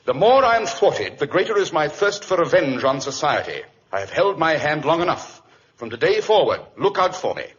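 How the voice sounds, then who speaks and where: A man with a British accent playing a keen, imperious, sardonic Victorian detective in an old radio drama, crisp and dryly condescending. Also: fairly high pitch, big pitch swings, normal speed, fairly bright tone. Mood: dramatic reading. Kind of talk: 1940s radio acting. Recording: muffled, noisy old radio recording.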